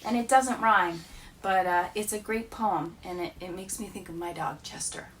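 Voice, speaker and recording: A woman teacher reading children's poems aloud with a deliberate, expressive storytelling delivery. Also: on the low side, distant mic, slight background noise, poor audio.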